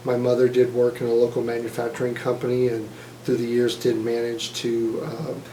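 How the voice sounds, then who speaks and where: A man in his fifties from Mississippi, giving an oral history interview in a measured, thoughtful way with frequent fillers and restarts. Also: mid-range voice, monotone, mellow, reverberant, slight background noise, rough recording. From Mississippi, USA. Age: 50s.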